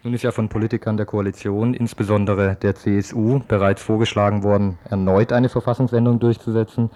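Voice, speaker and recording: Low, even-voiced male radio interviewer. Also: brisk. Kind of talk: news interview questions.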